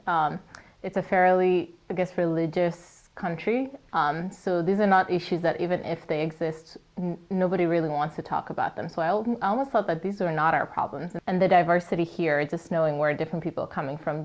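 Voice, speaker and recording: A woman's voice: lowish voice, darkish tone. A young woman from Kenya, a college student, giving thoughtful, hesitant interview answers with frequent restarts. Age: young adult.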